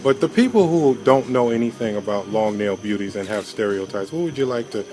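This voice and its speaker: A man's voice: on the low side, fairly quick, poor audio. A man interviewing a group of women on location, asking loose, rambling questions. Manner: relaxed, asks rambling questions with fillers.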